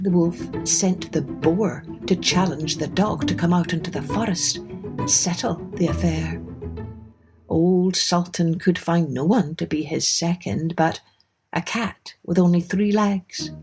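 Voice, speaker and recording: A woman narrating a scripted children's fairytale expressively, as the host of a storytelling podcast. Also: on the low side. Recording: music under the voice.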